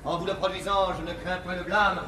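Man playing an unctuous, high-voiced salon poet. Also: fairly even intonation, brisk, close to an everyday voice. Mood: showing off. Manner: obsequious, florid, mannered. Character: unctuous, vain salon poet flattering learned ladies. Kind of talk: classical French verse comedy on stage. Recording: echoey 1950s theatre recording, faint noise.

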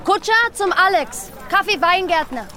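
An actress playing a brisk, blunt young policewoman in a radio drama set in 1910s Berlin. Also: extremely high pitch, lively intonation. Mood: brisk, bitter. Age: young adult. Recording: clear vehicle and ambience noise behind.

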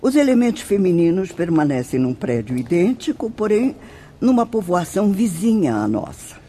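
A woman's voice: fairly low pitch, big pitch swings, moderate pace, mellow, breathy edge. A Brazilian woman playing a composed, formal spirit sister who instructs newcomers in a radio drama. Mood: composed, instructive. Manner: formal, didactic.

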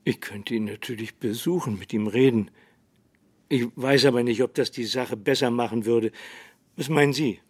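An actor playing a calm, resigned small-town sheriff in a radio drama, speaking in a dry, measured manner. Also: male voice, mid-range voice, no room sound, natural voice. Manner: dry, measured, hesitant hums.

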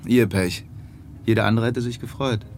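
A man's voice: fairly low pitch, steady tone, moderate pace, darkish tone, a little hiss or hum, close to an everyday voice. An actor playing a cool, evasive male police detective in a German radio drama, laconic and guarded.

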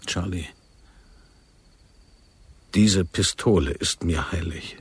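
A solemn, commanding agent posing as a fervent fighter in a radio drama. His voice is low and very husky, recorded close and dry. He speaks with ceremony and grave insistence. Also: slow, no room sound, full character voice. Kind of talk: German radio play acting.

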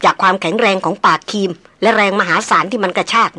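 A composed male jungle hunter, as a woman narrator puts him on, speaking flatly and without hurry. The audiobook voice is bright and crisp, recorded close and dry. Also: mid-range voice, dry recording. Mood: calm. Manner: flat, unhurried, matter-of-fact. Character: calm, steady male jungle hunter guide.

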